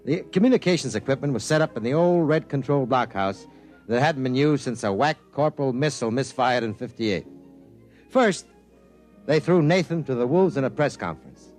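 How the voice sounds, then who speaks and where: A man's voice: medium pitch, animated, normal speed, darkish tone, close to an everyday voice. Guy playing a jaded 50s newsman telling the story, world-weary, voice moves a lot, music faint in back. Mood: narrating. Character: jaded male newspaper reporter telling the story. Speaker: narrator and reporter. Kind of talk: 1950s radio drama narration.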